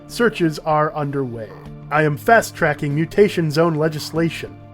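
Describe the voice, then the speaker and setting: A man performs a male government official delivering a public address. His voice sits a little high for a man. He is measured and formal, as in a dramatized comic, with light music underneath. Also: fairly bright tone, close to an everyday voice. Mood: solemn, official. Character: male government official announcing a mutant quarantine.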